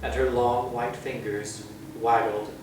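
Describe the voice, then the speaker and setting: A man reading a children's story aloud as an expressive storyteller. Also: medium pitch, takes it slowly, music in the background. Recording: very distant, echoey room.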